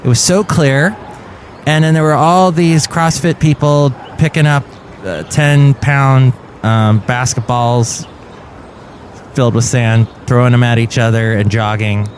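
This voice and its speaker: A man in a mid-range voice hosts a comedy podcast, rambling from one joke to the next with dry, deadpan asides. Faint traffic and street noise run underneath. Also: medium pitch, unhurried. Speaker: podcast host.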